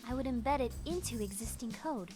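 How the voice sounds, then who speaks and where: A woman's voice: a little high, lively intonation, brisk, natural voice. A woman voicing a confident, teasing young female hacker in a visual novel game. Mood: intent, explaining. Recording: music playing behind the voice.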